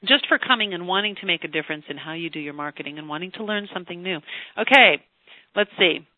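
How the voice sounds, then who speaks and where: An older teleseminar host and voice coach who chats warmly with listeners, then leads a slow, soothing guided relaxation. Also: mid-range voice, expressive, no room sound. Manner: effusive and chatty, turning slow and soothing for a trance induction. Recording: tinny phone line teleseminar, dry.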